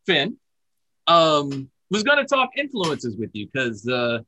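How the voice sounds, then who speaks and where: A man's voice: fairly high pitch, slow pace, a little hiss or hum. A man co-hosting a podcast, asking a guest questions in a joking, casual way. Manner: joking, lively questions with fillers. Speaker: podcast co-host.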